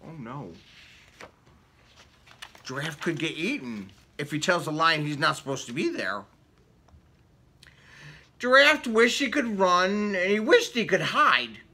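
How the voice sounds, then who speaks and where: A man's voice: a little high, big pitch swings, takes it slowly, pauses often, bright, forceful tone. A man reading a picture book aloud to children, theatrical, with casual questioning asides. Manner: theatrical, asks the young listeners questions. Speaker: children's story reader. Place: indoor room with book cut-outs.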